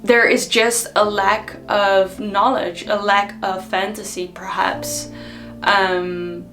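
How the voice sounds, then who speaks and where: A woman from the Netherlands, giving an unhurried, reflective motivational talk to her viewers with frequent ums. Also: mid-range voice, flat delivery, a bit bright, faint music behind. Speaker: personal development vlogger.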